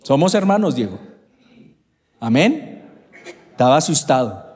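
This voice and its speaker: Slow, lively Colombian preacher in a hall. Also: male voice, medium pitch. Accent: Bogotá Colombian Spanish. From Bogotá, Colombia. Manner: addresses congregation members by name. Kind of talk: sermon. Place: church hall. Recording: reverberant church hall.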